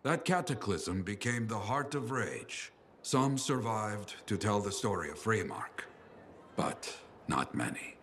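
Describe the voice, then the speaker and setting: An actor playing a grave storyteller in a video game, recounting a catastrophe in a dramatic, deliberate way. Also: male voice, lowish voice, sing-song, noisy background. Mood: ominous. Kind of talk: dramatic game cutscene narration. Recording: loud cinematic music under voice.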